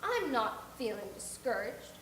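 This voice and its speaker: A woman's voice: very high-pitched, expressive, normal speed, pushed and bright, roomy sound, slight background noise, voice pushed up, heavily acted. A woman voicing a reserved, firm-minded middle-aged spinster who speaks in a dry, restrained way in an audiobook. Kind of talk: audiobook character reading.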